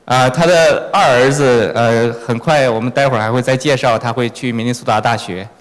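A man's voice: medium pitch, fairly even intonation, a little fast. A man interpreting a sermon phrase by phrase in a church. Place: large reverberant church hall. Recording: echoey hall with long reverb.

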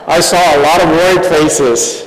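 The man you would hear from the pulpit of a reverberant church on Sunday morning, middle-aged, pitched a little high, pausing after each sentence. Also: steady tone. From born in Taiwan, grew up overseas. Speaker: guest preacher. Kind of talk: sermon given in short phrases for interpretation. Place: large reverberant church hall. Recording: echoey hall with long reverb.

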